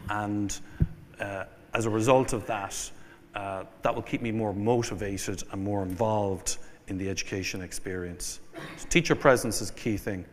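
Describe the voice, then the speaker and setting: A man with an Irish accent giving a conference keynote, setting out points in a measured way with fillers and restarts. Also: on the low side. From Ireland. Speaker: keynote speaker. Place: conference hall. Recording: long hall reverb.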